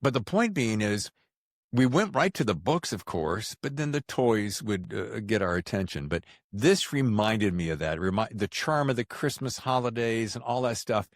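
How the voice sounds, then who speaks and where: A middle-aged American man hosting a podcast, chatting casually in a folksy, animated way. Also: fairly low pitch, moderate pace, no echo, studio quality. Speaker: podcast host. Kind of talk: casual interview chat.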